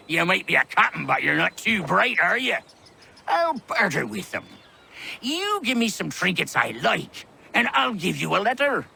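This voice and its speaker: An actor playing a sly, teasing old sailor with a gravelly voice and salty pirate speech in a video game. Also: male voice, high voice, big pitch swings, measured pace, bright, forceful tone, full character voice.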